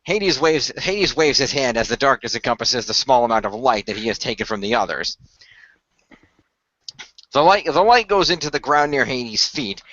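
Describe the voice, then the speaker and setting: A man's voice: medium pitch, a bit bright, dry recording, lo-fi sound. A man hosting and narrating an amateur fan radio play in a casual way.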